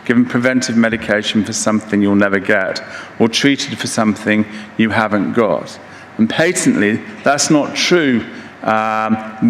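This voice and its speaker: A man in his sixties giving a conference keynote lecture in a measured, halting way, pausing mid-phrase. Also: lowish voice. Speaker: keynote speaker. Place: large conference hall stage, indoors. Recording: echoey hall with reverb, background noise.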